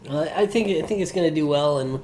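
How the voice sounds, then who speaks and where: A man's voice: fairly high pitch, fast, a little mellow, a little hiss or hum, rough recording. A man co-hosting a show, giving his opinions on movies in casual, conversational talk. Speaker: podcast co-host.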